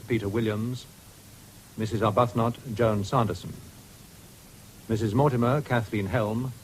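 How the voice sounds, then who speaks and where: Male announcer, RP, medium pitch, measured formal scripted credits, slightly noisy vintage radio sound. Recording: faintly noisy 1970s radio broadcast.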